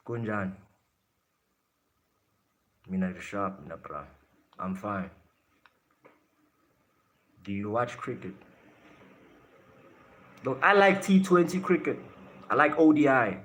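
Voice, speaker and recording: A fairly low-pitched man, chatting loosely to his livestream audience. He is blunt and brash, swearing and throwing jabs at commenters. The talk is relaxed and casual, aimed at the chat. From South Africa.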